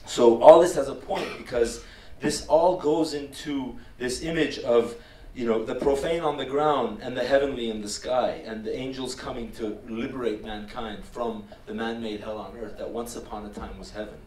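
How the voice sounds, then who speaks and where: A man's voice: mid-range voice, steady tone, moderate pace, darkish tone. A middle-aged man from Armenia gives an earnest public lecture, speaking emphatically and piling up words in lists. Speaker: filmmaker giving a lecture. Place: wood-panelled lecture room, indoors. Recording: roomy hall, distant mic, faint noise.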